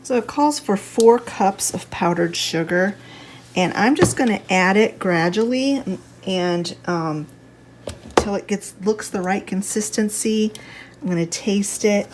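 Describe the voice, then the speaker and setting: A woman with a slightly stuffy, congested voice, giving a casual, chatty home cooking demonstration at an unhurried, thinking-aloud gait. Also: mid-range voice, expressive. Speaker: home cook, video host. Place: home kitchen.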